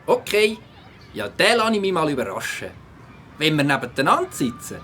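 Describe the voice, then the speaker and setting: A woman's voice: deep voice, big pitch swings, moderate pace, fairly bright tone, slight background noise. A woman playing a friendly, laid-back new schoolboy in a Swiss German children's audio play. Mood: easygoing.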